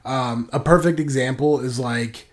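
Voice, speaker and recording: A man's voice: mid-range voice, fairly even intonation, unhurried. A man co-hosting a podcast, talking in a rambling way with lots of "like" fillers and sudden bursts of enthusiasm. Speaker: podcast co-host. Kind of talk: casual podcast chat.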